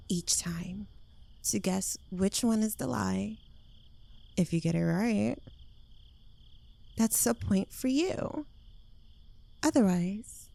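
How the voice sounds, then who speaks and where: Smug, clingy witch girlfriend played by a woman for ASMR roleplay: unhurried, teasing delivery, very dry intimate close-mic sound. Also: medium pitch, creaky, dark tone, no room sound. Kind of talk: ASMR roleplay, soft intimate scripted acting. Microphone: close microphone.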